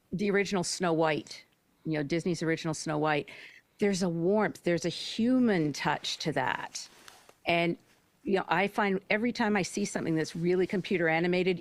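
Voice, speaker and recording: A woman gives her opinions in a casual panel discussion. Her pitch is in the middle range and the sound is dry, with little room to it. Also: no echo. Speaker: panelist, audio drama creator.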